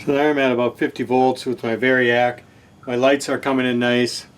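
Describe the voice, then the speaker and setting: A man's voice: mid-range voice, flat delivery, a little hiss or hum. A man giving casual, unscripted narration of hands-on repair work, thinking aloud in laid-back phrasing, often trailing off and correcting himself. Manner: halting, trailing off and self-correcting mid-thought. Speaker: host of a repair channel, hobbyist radio restorer.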